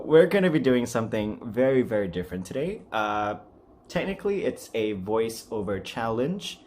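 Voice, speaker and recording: Low-pitched man, rambling casual vlogger. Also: a little hiss or hum. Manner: rambling, self-deprecating, apologetic. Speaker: amateur voice actor and video host. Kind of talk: casual unscripted vlog chat.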